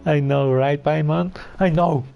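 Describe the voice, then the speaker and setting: A man reacts casually to a video game at a slow, halting pace. His fairly high, bright voice comes through a slightly muffled mic, with game music underneath. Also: steady tone, creaky. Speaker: game streamer. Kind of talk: casual gameplay commentary.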